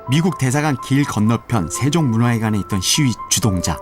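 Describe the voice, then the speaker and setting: A man narrating a radio drama in an even, descriptive storytelling manner, with music underneath. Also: mid-range voice, a little fast.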